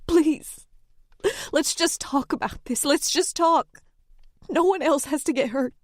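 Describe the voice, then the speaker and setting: A man's voice: very high-pitched, takes it slowly, no room sound, studio quality, voice pushed up. A man voicing a terrified young woman who begs for her life in an audiobook. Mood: pleading. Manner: frightened, begging. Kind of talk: audiobook character voice.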